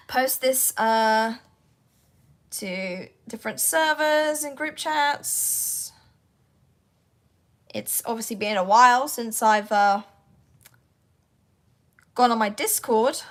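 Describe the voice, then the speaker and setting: A woman voice actor with a sore, croaky throat, rambling casually to her livestream audience and talking to herself while she fights with her devices. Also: on the high side, fairly bright tone.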